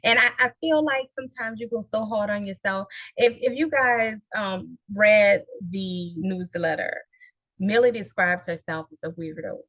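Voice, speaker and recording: A woman with a New Orleans accent hosting a casual interview, animated and playful, laughing often and using slang. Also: medium pitch, a little slow, dry recording, low-quality recording. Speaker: host.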